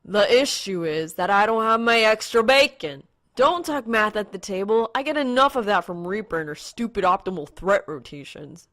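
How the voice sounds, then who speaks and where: A woman voicing a loud, brash, boastful young male gamer in a story, with a bombastic, whiny manner. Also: mid-range voice, lively intonation, moderate pace, hardly any pauses, voice pushed up, close to an everyday voice. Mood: whining complaint.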